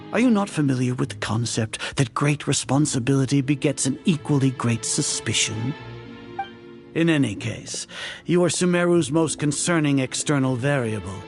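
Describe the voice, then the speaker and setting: An actor playing a haughty, older scholarly leader in a video game, lecturing with grandiose contempt. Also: male voice, medium pitch, lively intonation, normal speed, gravelly, faint music behind. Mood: condescending. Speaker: grand sage of an academy.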